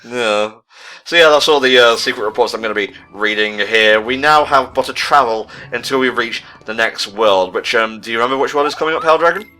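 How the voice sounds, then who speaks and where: A man's voice: medium pitch, a little fast, pushed and bright. A man giving casual commentary as host of a video game playthrough. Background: game music.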